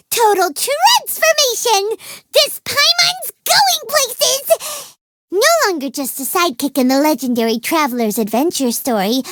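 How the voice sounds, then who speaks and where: A woman voicing a tiny, excitable, naive fairy companion in a video game, with a squeaky childlike voice and bubbly manner. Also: dry recording, full character voice. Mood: excited. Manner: bubbly, speaks of self in third person. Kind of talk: video game character dialogue.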